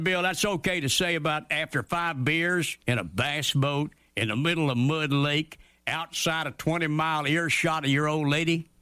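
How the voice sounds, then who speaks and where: Brief: an indignant everyman for radio comedy, bright, forceful tone, slightly high, dry booth sound. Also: no room sound. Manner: ranting, folksy, indignant. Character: grumbling down-home everyman man.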